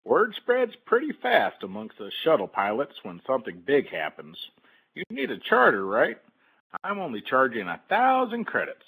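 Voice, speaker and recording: A man's voice: mid-range voice, wildly swooping pitch, normal speed, a bit bright, heavily acted, low-quality recording. Man playing a sleazy, swoopy-voiced shuttle pilot. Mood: slick, sly. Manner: smooth-talking, falsely reassuring salesman patter. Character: glib, sleazy male shuttle pilot, a fast-talking swindler. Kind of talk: fan audio drama, game-story character acting.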